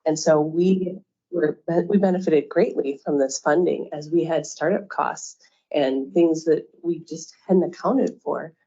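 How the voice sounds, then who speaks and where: A woman with a low, gravelly voice that sounds dark and a little husky. She co-owns a childcare center and lays out her points in a plain, earnest way on a panel. The sound is dull and lo-fi. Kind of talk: panel discussion. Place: event room with audience.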